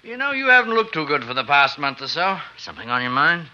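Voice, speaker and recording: A man's voice: fairly high pitch, sing-song, quick pace, sharp tone, exaggerated voice. An actor playing a genial, concerned clergyman in a radio drama, with a chatty, reassuring manner. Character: genial, concerned country clergyman, a loyal friend. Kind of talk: 1950s radio drama acting. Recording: dull, noisy vintage radio recording.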